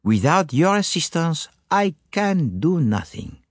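A courteous foreign detective, acted by a man in an audiobook. He is precise, formal and coaxing, with lilting, very lively intonation in a dry studio sound. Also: fairly high pitch, unhurried, dry recording, pitched above the performer's own voice. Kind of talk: audiobook character voice.

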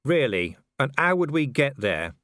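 A man voicing a gruff, exasperated middle-aged commander who talks bluntly and indignantly in an audiobook. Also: mid-range voice, sing-song, a little slow, a bit bright, no echo, studio quality, voice pushed up. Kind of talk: audiobook character voice.

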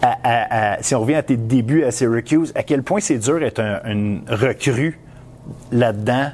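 A man's voice: mid-range voice, normal speed, darkish tone, a little hiss or hum. A man with a Quebec accent hosting a casual sports interview, feeling his way through questions as he rephrases them. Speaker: podcast interviewer.

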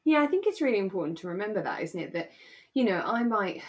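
A woman's voice: medium pitch, big pitch swings, fast. A woman co-hosting a podcast, chatting casually in an earnest, emphatic and affirming way. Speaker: podcast co-host. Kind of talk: casual chat reviewing a radio drama.

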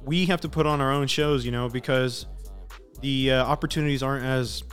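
A man's voice: mid-range voice, fairly even intonation, fairly quick, fairly bright tone. A young man from Sacramento, a rapper, chatting casually and leaning on "you know" all the time. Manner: laid-back, says "you know" constantly. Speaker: rapper and podcast guest. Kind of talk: casual chat. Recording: music playing in the background.

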